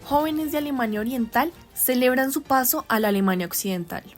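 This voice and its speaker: A woman's voice: sits high, expressive, brisk, faint music behind. A woman playing a solemn radio news reporter, reading a scripted bulletin in a formal, declarative manner. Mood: solemn, proud. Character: solemn female radio news reporter announcing historic events.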